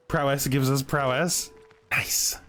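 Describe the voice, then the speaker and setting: A man's voice: medium pitch, steady tone, takes it slowly, a bit bright. A man giving casual let's-play commentary, thinking aloud and wryly addressing the game's characters. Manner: wry, talks to game characters. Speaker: gaming video host.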